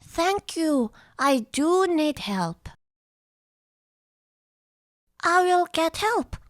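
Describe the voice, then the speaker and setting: A woman voicing an eager, determined little female bird in an animated, bouncy children's read-aloud. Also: very high voice, slow pace, dry recording, studio quality, voice pushed up, exaggerated voice. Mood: earnest. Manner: exclamatory, bouncy, wide-eyed.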